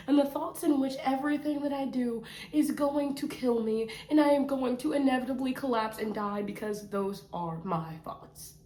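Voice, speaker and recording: A woman rambles through a casual vlog on her camera mic, slow and drawn out. She is playful and self-mocking and drifts into sing-song bits. The bedroom around her sounds echoey. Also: mid-range voice. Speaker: vlogger.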